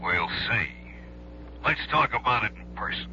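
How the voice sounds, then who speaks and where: An actor playing a smug, menacing union boss in a radio drama, condescending and coolly threatening. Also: male voice, mid-range voice, expressive, normal speed, pushed and bright. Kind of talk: vintage radio drama acting. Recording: dull old radio recording with hum.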